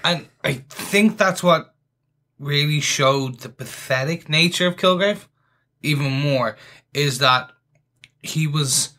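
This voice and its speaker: A man's voice: a little high, slow, bright, forceful tone. A man co-hosting a casual podcast, chatty and enthusiastic, restarting his sentences often. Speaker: podcast co-host.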